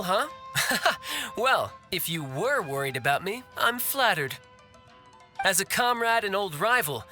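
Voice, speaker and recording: A man's voice: sits high, bright, forceful tone, exaggerated voice. A man voicing a cocky, battle-loving young male warrior who teases his companions playfully. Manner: teasing, playful, self-assured. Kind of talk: game cutscene voice acting.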